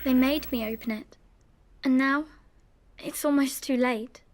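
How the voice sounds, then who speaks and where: A woman's voice: sits high, steady tone, measured pace, a little mellow. An actress playing a haunted young girl in a game, earnest and pleading. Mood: frightened pleading.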